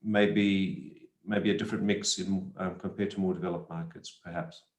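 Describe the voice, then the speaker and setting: Male panelist from Zimbabwe, very low steady voice, searching delivery, roomy sound. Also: moderate pace. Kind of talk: thoughtful panel discussion. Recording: echoey room on webinar connection.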